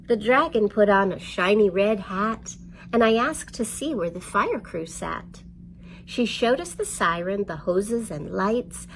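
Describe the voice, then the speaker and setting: Creaky-voiced woman hosting children's storytime. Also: medium pitch, slight background noise. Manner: expressive, simple, encouraging address to children. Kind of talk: read-aloud storytelling and instruction for young children.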